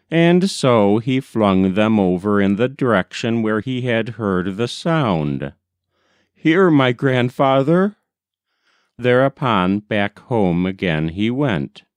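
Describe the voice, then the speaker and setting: A man reading a folk tale as scripted audiobook narration, deliberate and expressive. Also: on the low side, clean tone, no room sound, studio quality. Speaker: audiobook reader.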